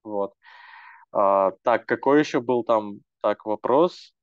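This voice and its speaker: A man's voice: lowish voice, slow pace, clean tone, no room sound, muffled recording. A young man talking casually and earnestly as a language activist, rambling with many fillers and restarts. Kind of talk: casual panel talk.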